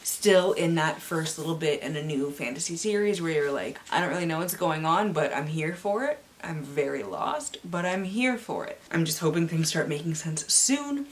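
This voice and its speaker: A woman with a slightly low voice talks as the host of a book vlog. Her delivery is casual and chatty, tipping into playful, hammed-up drama. She likes to cut in on herself with joking asides. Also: animated, moderate pace, few pauses. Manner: playful, self-interrupting asides, theatrical swings. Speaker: book vlogger and channel host.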